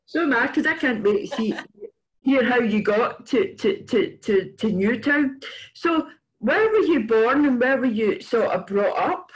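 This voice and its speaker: An older woman hosting a casual video-call interview, with a halting delivery that repeats words as she goes. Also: fairly high pitch, animated, rough recording. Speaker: interview host. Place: indoors in a room at home, on a video call. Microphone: computer microphone on a video call.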